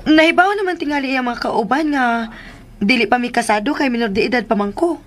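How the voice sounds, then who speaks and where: An actress playing a gentle, patient teenage girl and dutiful daughter, reasoning softly in a radio drama. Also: on the high side, a little fast, a little hiss or hum, close to an everyday voice. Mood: calm.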